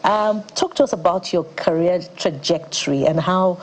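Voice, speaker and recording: A woman's voice: fairly low pitch, normal speed, rough recording. A woman hosting a live TV interview, asking probing questions in a conversational tone. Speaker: TV news host.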